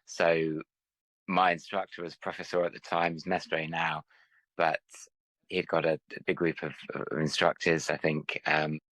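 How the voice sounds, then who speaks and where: A man hosting a podcast interview, welcoming his guest and asking polite, measured questions. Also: a bass voice, flat delivery, fairly bright tone, no room sound. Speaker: podcast host. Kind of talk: interview host.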